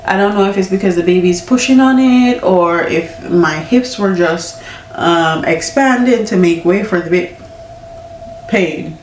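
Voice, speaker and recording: A woman's voice: lowish voice, measured pace, darkish tone, a little hiss or hum. A young woman vlogging casually about her pregnancy, candid and chatty, with a congested, stuffy nose. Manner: candid, chatty, laughing often. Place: home. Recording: echoey room, mic at a distance.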